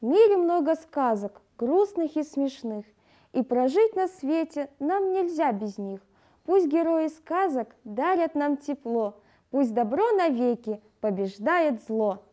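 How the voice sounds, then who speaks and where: A woman with a high-pitched voice reads scripted educational narration. Her tone is soft-edged and mellow, and she speaks like a teacher explaining material to pupils. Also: lively intonation, a little slow. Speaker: language lesson presenter.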